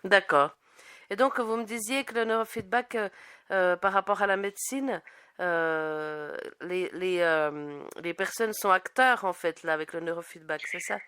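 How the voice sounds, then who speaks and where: A woman hosting an informal radio interview, feeling her way through questions with hesitant, halting phrasing. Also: fairly low pitch, fairly bright tone, clear, resonant tone. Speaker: radio host.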